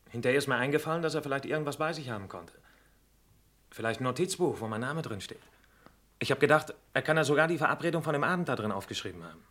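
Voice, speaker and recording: An actor playing a cornered murderer confessing in terse, resentful sentences in a 1960s German radio crime drama. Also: male voice, mid-range voice, a bit bright. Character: cornered male murderer giving a sullen confession.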